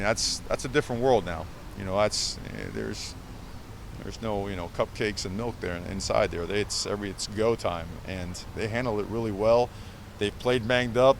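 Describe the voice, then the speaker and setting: A man's voice: fairly low pitch, slight background noise. A man coaching football, answering reporters in a press conference, emphatic and full of fillers and repeated words. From Cuba.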